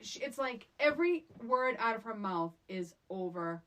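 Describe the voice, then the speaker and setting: A woman's voice: on the high side, expressive, moderate pace, fairly bright tone. A woman co-hosting a podcast, chatting casually and comically about a movie in a blunt, wry, exasperated manner. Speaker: podcast co-host. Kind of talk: casual comedic movie-discussion chat.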